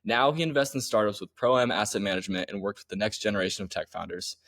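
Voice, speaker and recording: A man's voice: lowish voice, fairly even intonation, fast talker, fairly bright tone, no room sound, clean studio sound. A young man hosting a podcast, reading an introduction and then asking eager, upbeat questions. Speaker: podcast host.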